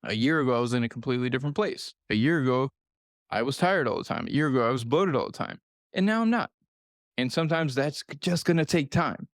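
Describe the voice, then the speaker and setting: A man's voice: mid-range voice, expressive, fast talker, no room sound, clean studio sound. A man in his thirties hosting a solo nutrition podcast, talking straight to the listener in an earnest, repetitive coaching manner. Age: 30s. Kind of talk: solo podcast advice talk.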